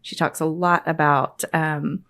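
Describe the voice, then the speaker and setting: A woman co-hosting a podcast, introducing the guest in a relaxed, plainspoken way. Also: sits low, measured pace. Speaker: podcast co-host.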